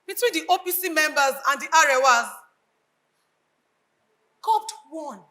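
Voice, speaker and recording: A woman's voice: high-pitched, expressive, unhurried, bright, forceful tone, heavily acted. A woman acting a grieving, unhinged bereaved mother in a live stage drama, declamatory and anguished, with a Nigerian accent.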